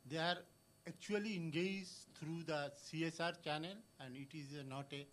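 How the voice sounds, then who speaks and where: A man from Bangladesh making a halting comment from the audience at a conference, with many pauses and restarts. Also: on the high side, fairly even intonation, fairly bright tone, a little hiss or hum. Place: conference hall.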